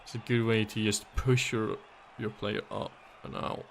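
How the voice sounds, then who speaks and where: A man casting a live video game match, his voice raspy and hoarse, thinking aloud through the plays. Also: fairly low pitch, flat delivery, normal speed, a little hiss or hum. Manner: analytical, thinking aloud, thanks viewers. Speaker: game caster.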